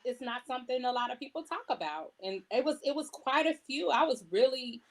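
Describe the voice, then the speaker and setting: A woman's voice: high-pitched, lively intonation, a little fast. A woman, an author being interviewed, chatting casually and telling anecdotes by acting out what other people said.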